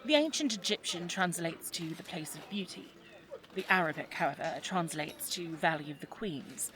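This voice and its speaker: An actress playing an inquisitive, dutiful, wry young daughter of a 1920s English archaeologist in an audio drama, with a polite, articulate, dry delivery. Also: female voice, lowish voice, moderate pace, fairly bright tone, slight background noise, lightly acted, low-quality recording. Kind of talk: scripted audio drama acting.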